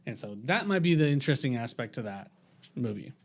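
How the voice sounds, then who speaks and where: A man's voice: medium pitch, sing-song, a little fast, breathy edge. A man talking casually as a podcast panelist, explaining things in an eager, repetitive way. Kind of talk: casual group discussion.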